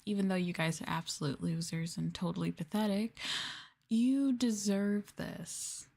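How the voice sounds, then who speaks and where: A woman streamer doing a sadistic ASMR-style roleplay, talking down to her listeners in a teasing, mocking way with playful drawn-out emphasis. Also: medium pitch, vocal fry, mellow. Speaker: VTuber streamer, host.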